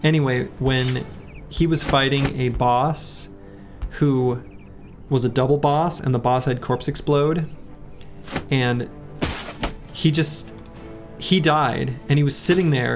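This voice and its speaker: A man live-streaming a game, his voice slightly hoarse with a gravelly, creaky edge. He rambles in a loose, chatty way and greets viewers by name. Faint game music plays underneath. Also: medium pitch, steady tone, slow pace. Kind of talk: casual live-stream chat while playing.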